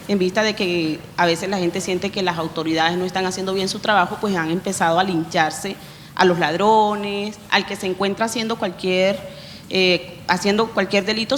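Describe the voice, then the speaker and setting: Colombian woman asking from an echoey hall. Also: mid-range voice. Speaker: audience member asking a question. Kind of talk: spontaneous spoken question at a public talk. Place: lecture hall. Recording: echoey hall, faint background noise.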